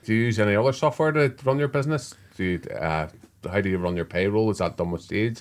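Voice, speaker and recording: A man hosting a podcast, asking quick follow-up questions. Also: on the low side. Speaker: podcast host. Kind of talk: interview questions.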